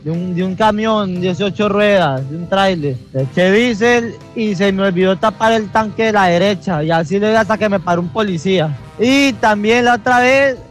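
A man's voice: high voice, moderate pace, hardly any pauses, soft background music. A man telling a rambling, self-correcting funny story on a radio show. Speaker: radio show cast member.

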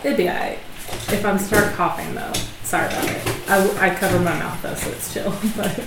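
A woman co-hosting a podcast, chatting and laughing often, with a slightly gravelly voice from allergies. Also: mid-range voice, steady tone, moderate pace. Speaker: podcast co-host. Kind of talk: casual chat. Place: dining room in a home. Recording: echoey room with hiss.

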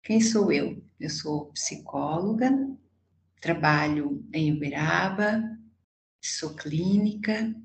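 A woman in her sixties from Portugal, with an accent softened by years in Brazil, speaking as a psychologist guest in a reflective, halting, deliberate way. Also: low voice. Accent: Portuguese softened by decades in Brazil. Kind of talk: reflective conversational interview. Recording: echoey room with background noise.

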